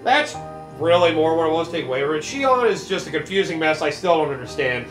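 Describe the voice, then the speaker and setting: A man's voice: fairly high pitch, lively intonation, fast talker, echoey room, soft background music, poor audio. A man co-hosting a let's play, rambling and hesitant with many fillers. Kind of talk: casual commentary chat.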